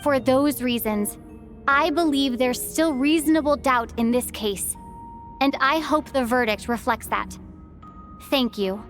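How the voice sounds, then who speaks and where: A woman's voice: high voice, measured pace. A woman voicing an earnest, thoughtful young traveler in a fantasy video game, with a careful, reflective delivery. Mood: earnest, reasoned. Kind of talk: dramatic video game voice acting. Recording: music behind the voice.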